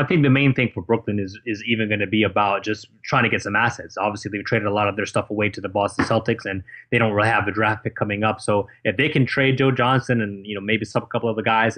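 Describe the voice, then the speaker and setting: A man's voice: mid-range voice, fairly bright tone. A man co-hosting a sports podcast, talking through his analysis in a casual, conversational way. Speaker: podcast co-host.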